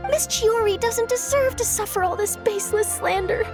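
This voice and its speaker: An earnest young newspaper reporter in a video game. Her very high, chirpy voice sounds eager and principled, with music behind it. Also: normal speed. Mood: indignant, earnest. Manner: bright-eyed, earnest, chirpy.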